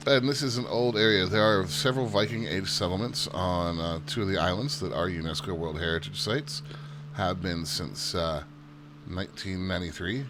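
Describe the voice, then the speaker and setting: Low-voiced man with steady hum. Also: normal speed. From United States. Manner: easygoing, self-deprecating, chatty tour-guide delivery. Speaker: flight sim channel host. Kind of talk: casual gameplay commentary.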